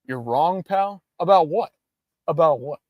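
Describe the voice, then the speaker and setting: A man's voice: a little high, animated, unhurried, no echo, muffled recording. A young man hosting a casual livestream, ranting in a hyped-up, profane way. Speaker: livestream host.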